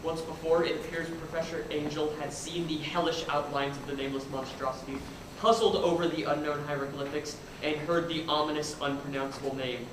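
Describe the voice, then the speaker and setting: A man's voice: medium pitch, echoey room, distant mic, noisy background, lightly acted. An actor playing a pensive, scholarly man narrating a horror tale in the manner of an old radio play.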